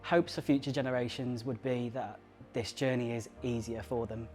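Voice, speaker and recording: Earnest man with a flat delivery. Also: mid-range voice, faint music behind. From Surrey, England. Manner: earnest, halting, moved. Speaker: interviewee. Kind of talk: emotional personal testimony.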